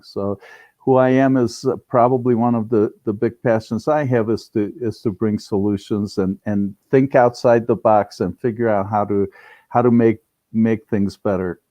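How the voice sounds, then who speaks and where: Older man, mellow relaxed voice, dry close sound, earnest, halting interview talk. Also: lowish voice, dry recording. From Romania-born, raised in Cleveland, US. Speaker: interview guest, business founder.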